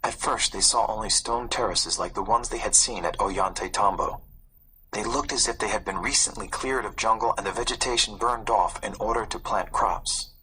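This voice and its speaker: A man reading a story aloud as a narrator, in a suspenseful, expressive way. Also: on the low side, normal speed, husky, low-quality recording. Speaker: audiobook narrator. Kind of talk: dramatic scripted storybook narration.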